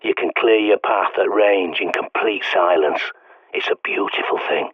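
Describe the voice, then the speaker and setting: A gruff British ex-soldier survivalist speaks in a lowish voice, giving blunt orders and fatherly advice. His voice comes through a thin, tinny radio line. The sound is dry and close, with no room around it. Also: normal speed, no room sound. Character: gruff older male ex-soldier survivalist mentor. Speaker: survival guide over radio.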